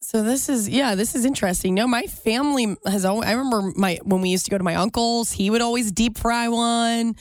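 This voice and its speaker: A woman's voice: fairly high pitch, fairly quick, few pauses, no echo. A woman in her twenties co-hosting a talk show in a casual, chatty style. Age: 20s. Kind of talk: casual chat.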